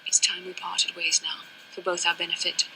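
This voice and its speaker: A proud young Jedi woman for a video game, guarded and resentful toward family. The voice is very bright and forceful, set a little low, with formal, clipped, earnest phrasing. Faint noise and ambience sit behind it. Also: monotone, normal speed, creaky, full character voice, rough recording. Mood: bitter, accusing. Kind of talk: dramatic scripted game dialogue.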